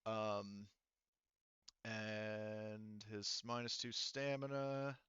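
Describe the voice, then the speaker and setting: Smooth-voiced man running a tabletop game. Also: on the low side, flat delivery, a bit bright, no echo, highs cut off. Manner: halting, thinking aloud while working out rules. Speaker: game master. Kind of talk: running a tabletop roleplaying game, casual improvised narration.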